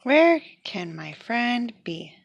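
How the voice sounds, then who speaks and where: A woman giving an expressive read-aloud of a children's picture book, acting out the characters. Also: a little high, slow pace, creaky, dull audio, slight background noise.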